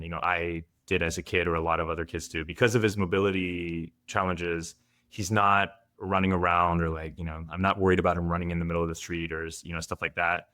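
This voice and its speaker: A man's voice: deep voice, fairly bright tone. A man from France, a guest father, giving thoughtful, candid answers in a podcast interview.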